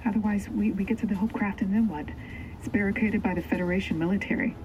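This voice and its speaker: A woman's voice: medium pitch, steady tone, fast talker, darkish tone, lo-fi sound, close to an everyday voice. A woman playing an earnest, pragmatic fugitive in an audio drama, anxious but firm as she reasons urgently. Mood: pleading, reasoning. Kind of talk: scripted audio drama acting. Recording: clear vehicle and ambience noise.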